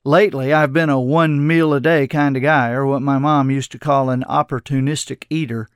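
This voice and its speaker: A man's voice: medium pitch, steady tone, moderate pace, clean tone, no echo, studio quality, natural voice. A man voicing an eager, earnest, naive young man down on his luck in an audiobook. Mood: casual, puzzled.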